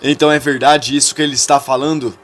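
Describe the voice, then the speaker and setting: A man's voice: medium pitch, level tone, quick pace, fairly bright tone, faint music behind, natural voice. A man voicing a stern older father who demands answers in a retold soap-opera story.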